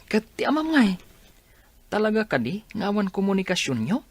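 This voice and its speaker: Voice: mid-range voice, sing-song, normal speed, breathy edge. A performer voicing a caring young classmate. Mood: concerned. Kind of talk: radio drama acting.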